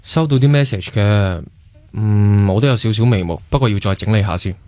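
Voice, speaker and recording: Actor as easygoing hk cantonese big brother, young guy, lowish voice, dry sound, radio drama. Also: fairly quick, a little mellow, no echo, close to an everyday voice. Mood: calm, guarded. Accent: Hong Kong Cantonese. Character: easygoing, guarded older brother.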